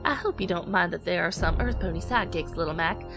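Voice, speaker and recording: A woman's voice: on the low side, fast talker, music in the background, lightly acted. A woman voicing a tender, folksy mother pony, telling bedtime stories in a motherly way. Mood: storytelling. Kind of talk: acted bedtime storytelling.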